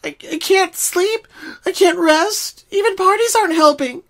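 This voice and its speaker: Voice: extremely high pitch, measured pace, fairly bright tone, voice pushed up, heavily acted. A performer voicing a frightened, exhausted young female pony with a quivering, pleading voice in a cartoon fan reading. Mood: panicked. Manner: whiny, dramatic, pleading.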